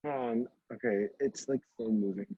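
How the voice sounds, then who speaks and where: A man reading lines casually and hesitantly, with deadpan asides. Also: medium pitch, moderate pace, gravelly, slightly rough, dry recording, lo-fi sound. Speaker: co-reader voicing a story character. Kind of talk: casual amateur read-aloud with asides.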